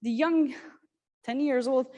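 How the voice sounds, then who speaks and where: A woman from Syria giving an earnest, halting personal talk at a workshop, with many restarts and fillers. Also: high voice, mellow. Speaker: conference speaker. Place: conference hall.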